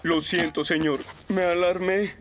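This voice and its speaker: An actor playing a bumbling, excitable, good-natured young firefighter in a Latin American Spanish children's cartoon. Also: male voice, sits high, measured pace. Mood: sheepish. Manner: over-dramatic, exclaiming. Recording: loud music behind the voice.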